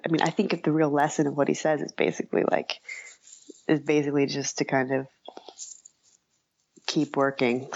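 A woman giving thoughtful, halting, conversational answers as an interview guest, with a dull lo-fi recording. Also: low voice, a little mellow. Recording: dull, lo-fi, dry audio.

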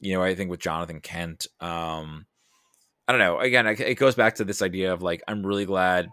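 A man with a deep, low voice talks casually as part of a pop-culture discussion. He feels his way through sentences, often stopping to rephrase. The sound is very dry, with no room around it. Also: a little fast, bright, forceful tone, no echo. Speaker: podcast guest.

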